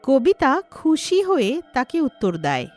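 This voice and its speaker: Animated woman narrating a moral story. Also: sits high, a little slow, soft background music.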